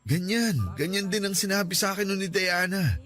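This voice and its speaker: A man's voice: high-pitched, animated, moderate pace. A subdued grieving young man. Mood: sorrowful. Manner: subdued, hesitant, self-deprecating. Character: grieving young man who lost his partner. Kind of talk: radio drama acting. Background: soft music.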